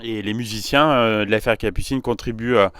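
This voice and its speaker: A male radio host asking calm, measured questions and murmuring along as he listens. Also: lowish voice, clean tone. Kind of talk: radio interview.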